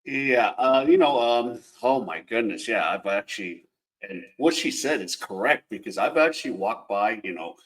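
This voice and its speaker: A man's voice: lowish voice, normal speed, poor audio. A man hosting a reaction video, talking casually with lots of fillers and rambling asides. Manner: rambling, filler-heavy, animated.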